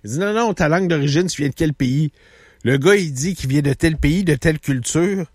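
A man with a Québécois accent, hosting a radio show and telling stories in animated bursts. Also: fairly high pitch, husky, dry recording, studio quality. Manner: animated storyteller, quick bursts. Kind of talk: casual chat.

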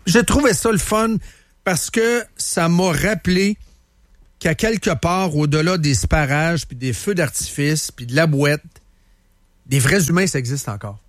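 A man with a Quebec French accent chats on the radio in a loose, rambling way, circling back and repeating words as he goes. His voice sits a little high for a man. The sound is close and dry, with no room around it. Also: unhurried, no echo. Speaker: radio host. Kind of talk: casual radio chat. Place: radio studio.

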